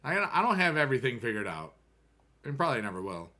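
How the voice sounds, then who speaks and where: A man's voice: mid-range voice, very animated, fast talker, pushed and bright. An American man in his thirties chatting casually with viewers on a game stream, rambling and upbeat with playful rhymes.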